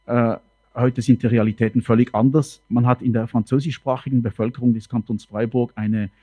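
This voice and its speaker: A middle-aged man, bilingual with French as his mother tongue, speaking in a halting, measured way in a panel discussion. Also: medium pitch, flat delivery, dark tone, no echo. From canton of Fribourg, Switzerland. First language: French, grew up bilingual with German. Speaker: city politician on a panel. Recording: slightly dull, dry sound.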